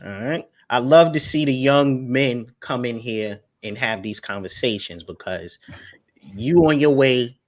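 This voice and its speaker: A man's voice: mid-range voice, measured pace. Young man, casual livestream talk, emphatic, preachy, repeats words. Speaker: guest speaker on finance.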